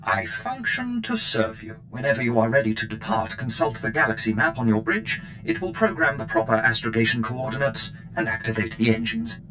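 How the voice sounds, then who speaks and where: Guy doing a prissy eager-to-please robot butler from a game, on the low side, rumbly noise behind. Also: completely flat delivery, brisk, few pauses, close to an everyday voice. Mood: formal, helpful. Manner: formal, obsequious, precise. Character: fussy, servile male butler droid, anxious to please. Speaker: ship's droid servant. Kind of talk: scripted video game acting.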